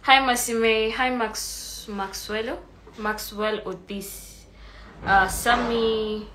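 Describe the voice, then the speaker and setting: A woman's voice: a little high, fairly even intonation, drawn-out words, noisy background. A woman with a Kenyan accent hosting a casual live stream, chatty and greeting viewers by name, a little congested from an oncoming flu. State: coming down with a flu, clearing her throat.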